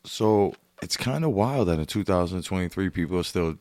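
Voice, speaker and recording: A man's voice: deep voice, normal speed, no room sound, studio quality. A man hosting a podcast, talking in a blunt, casual way full of swearing. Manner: blunt, swears a lot, animated. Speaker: podcast host. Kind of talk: casual profane chat.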